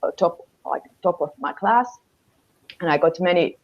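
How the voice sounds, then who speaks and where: A woman's voice: on the low side, expressive, normal speed. Hesitant Finnish-accented young woman. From Finland. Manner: hesitant, searching for English words, many fillers. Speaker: interviewee. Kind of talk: casual interview answers. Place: small room at home, indoors. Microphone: video call. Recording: dull lo-fi video call audio.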